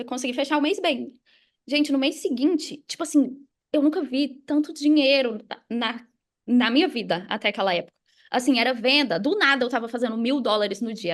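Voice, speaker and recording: Brazilian woman on a podcast, high voice, chatty and animated, telling her own story casually. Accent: Brazilian Portuguese. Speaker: podcast guest.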